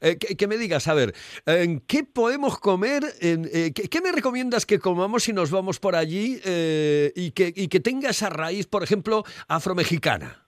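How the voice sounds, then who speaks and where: Higher-voiced male radio host, dry sound. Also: lively intonation, moderate pace, dry recording. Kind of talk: radio interview.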